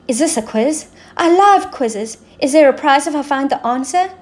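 A woman's voice: sits high, expressive, normal speed, pitched above the performer's own voice. A woman voicing an eager, inquisitive storybook queen who is helpful and a little quarrelsome.

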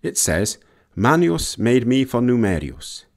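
A man's voice: mid-range voice, sing-song, a little slow. A man reading scripted narration for an educational video about language, as its narrator.

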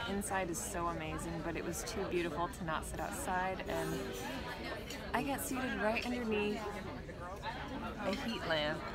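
A young woman narrating a casual travel vlog, upbeat and gushing about food and places, filming herself at arm's length. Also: fairly low pitch. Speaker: vlogger. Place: outdoors and in restaurants, phone at arm's length.

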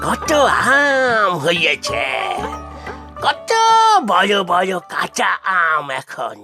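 A woman's voice: fairly low pitch, big pitch swings, very slow, music in the background, full character voice. A woman voicing a cranky, mischievous, hard-of-hearing old grandparent in a comic Bengali cartoon, scolding and exclaiming in a muddled way. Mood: scheming, stubborn.

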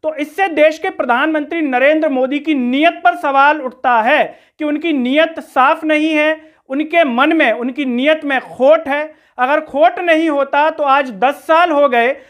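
A man's voice: very high-pitched, measured pace, fairly bright tone. A man presents political commentary in a rhetorical, questioning, accusatory way. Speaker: news commentary host. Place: small room indoors.

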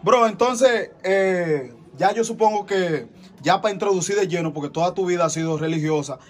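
A man with a Dominican Spanish accent interviewing a guest, friendly and chatty, with quick follow-up questions. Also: sits high. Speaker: interviewer, host. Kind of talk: casual interview.

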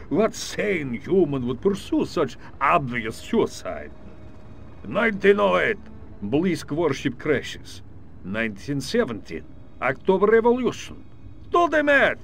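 An actor playing a scheming alien villain posing as a general, speaking with a gloating, theatrical manner in a video game cutscene. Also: male voice, medium pitch, huge pitch swings, takes it slowly, creaky, a bit bright, a little hiss or hum, heavily acted. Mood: triumphant.

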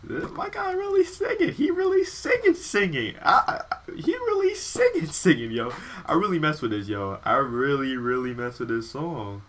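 A man in his twenties with an American accent hosting a reaction video in casual slang, excitable and repeating himself. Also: a little high, moderate pace, hardly any pauses. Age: 20s. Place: small bedroom, indoors. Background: hip hop music playing.

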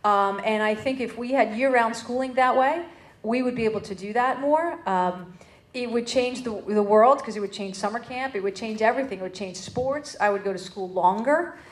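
Panelist, female, 40s, American, fairly high voice, earnest unscripted delivery, reverberant meeting room. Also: normal speed. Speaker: panelist, educator. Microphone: tabletop stand microphone.

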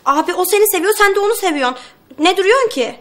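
A woman's voice: very high voice, animated, quick pace, fairly bright tone. A young woman playing an eager, nosy sister in a TV comedy-drama. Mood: excited.

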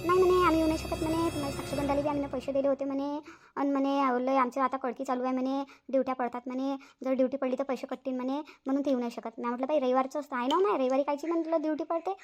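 A high-pitched, aggrieved elder sister from a Marathi family cartoon , played by a woman. She rattles along extremely fast in a Varhadi accent, repeating herself as she gossips and complains. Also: steady tone, slight background noise, close to an everyday voice. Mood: indignant, complaining. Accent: Varhadi Marathi from Vidarbha. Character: chatty, aggrieved married elder sister on the phone.

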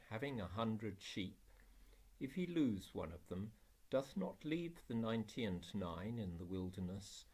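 A man with a lowish, husky voice reads formally from scripture and prayer. His delivery is very slow, solemn and measured, as a reader in a church service. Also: darkish tone.

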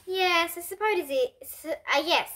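A woman's voice: very high voice, unhurried, bright, forceful tone, pitched above the performer's own voice, exaggerated voice. A young girl voicing an earnest, bewildered father in a children's storybook, with thoughtful, emphatic phrasing.

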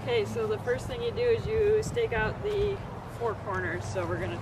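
A woman's voice: on the high side, wildly swooping pitch, moderate pace. A middle-aged woman giving a casual how-to demonstration outside, speaking to a camera a few meters away. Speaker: host demonstrating tent setup. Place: grassy yard outdoors beside a house. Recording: wind-buffeted outdoor camera audio, loud noise.

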